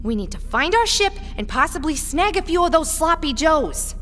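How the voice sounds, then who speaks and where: A woman's voice: high voice, very animated, moderate pace, a bit bright, slight background noise. A woman playing a perky, blunt and crude spacefaring crew member in a comic sci-fi audio drama. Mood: eager.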